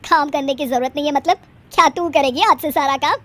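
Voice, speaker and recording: Elderly cartoon mother-in-law, woman performer: grumbling, weary, high voice, sharp-tongued and scolding. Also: a little fast, lightly acted. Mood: sarcastic surprise. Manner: scolding, sarcastic. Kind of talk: animated family drama storytelling.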